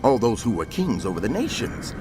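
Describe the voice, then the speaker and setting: A solemn prophet narrates scripture in a fairly low voice. The delivery is dramatic and declamatory, with music clearly heard underneath. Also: male voice, big pitch swings, fairly quick. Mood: solemn declaiming.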